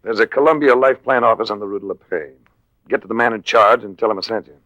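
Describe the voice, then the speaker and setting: A man's voice: on the low side, fast, fairly bright tone, dry recording, lo-fi sound, close to an everyday voice. A man playing a cool, wry American adventurer-investigator in 1940s radio drama style, speaking in a clipped, dry, hardboiled way. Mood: brisk, commanding. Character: cool, wry American male adventurer-investigator, narrating his own case. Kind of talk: 1940s radio drama acting with first-person narration.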